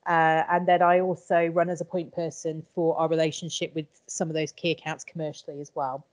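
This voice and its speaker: Female panelist, slightly lower voice, very dry sound, explanatory conversational delivery. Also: little pitch movement, fairly quick, dry recording. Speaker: company employee, panelist. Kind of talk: explaining her job.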